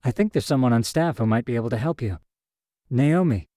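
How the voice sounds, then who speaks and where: A man voicing a friendly, lively young woman who talks in a chatty, slightly hurried way. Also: mid-range voice, no echo, studio quality, pitched above the performer's own voice, close to an everyday voice. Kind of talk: audio drama short story reading.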